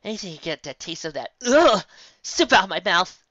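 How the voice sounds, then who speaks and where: Woman as an earnest, bright young unicorn. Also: medium pitch, very animated, fairly quick, no room sound, highs cut off, pitched above the performer's own voice. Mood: friendly.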